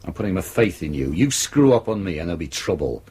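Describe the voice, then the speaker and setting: A man's voice: sits low, very animated, fast, warm tone, slightly rough, heavily acted. A man acting in a radio drama as a domineering senior police detective, brusque and authoritative. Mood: stern, threatening.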